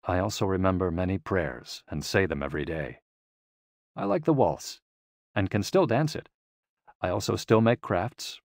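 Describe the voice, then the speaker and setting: A man's voice: sits low, lively intonation, moderate pace, no echo. Audiobook role: contented centenarian woman read by a man, reflective and simple, dry close sound. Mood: fond, reflective. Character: contented, reminiscing very old woman. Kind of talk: audiobook quotation.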